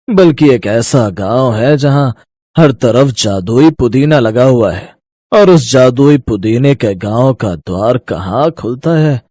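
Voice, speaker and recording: A man voicing a kindly old village uncle in a moral story, earnest and secretive, speaking slowly and deliberately. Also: medium pitch, mellow, slightly rough, no room sound. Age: older. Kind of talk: Hindi moral story voice acting.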